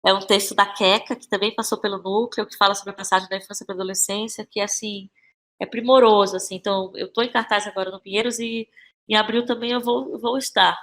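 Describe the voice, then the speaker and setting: A woman's voice: mid-range voice, steady tone, fast talker, a bit bright. A Brazilian woman speaking as a podcast guest, casual and reflective, often repeating phrases as she finds her words. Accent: Brazilian Portuguese. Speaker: interview guest, playwright and actress.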